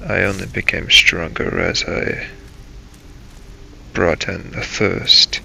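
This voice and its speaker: A man hosting a gaming series, narrating a scripted first-person story in a brooding, halting, dramatic way. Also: deep voice, little pitch movement, creaky, sharp tone, a little hiss or hum, rough recording. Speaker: host of a role-play gaming series.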